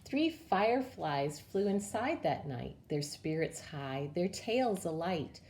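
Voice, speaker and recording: Woman, lowish voice, unhurried expressive storybook read-aloud, children's story time, some background noise.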